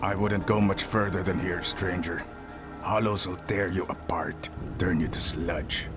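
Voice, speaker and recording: Grim, wry game local, low voice, music behind. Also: male voice, steady tone, a little slow. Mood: grim warning. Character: grim, cautionary male local. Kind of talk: video game dialogue acting.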